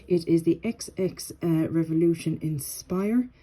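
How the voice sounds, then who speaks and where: A woman's voice: low voice, unhurried. A woman with a stuffy, snuffly voice from a cold, chatting casually through a product review with many ums. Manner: relaxed and chatty, with frequent ums. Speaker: beauty vlogger. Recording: noisy home recording.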